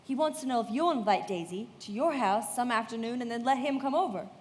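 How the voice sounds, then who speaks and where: Lady acting a poised young socialite telling a story in a radio play, highish voice, big reverb, music behind. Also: a little fast, close to an everyday voice. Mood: persuasive. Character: poised young society woman and golfer recounting gossip.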